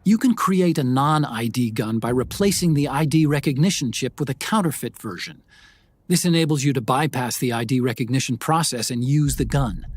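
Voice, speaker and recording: A man playing an earnest, analytical adviser giving a briefing in a video game, articulate and measured. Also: medium pitch, husky. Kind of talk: video game cutscene acting.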